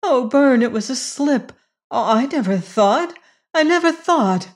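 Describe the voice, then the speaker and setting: A woman's voice: mid-range voice, very animated, takes it slowly. A woman voicing a distressed, remorseful frontier woman in a storybook, pleading and exclaiming earnestly.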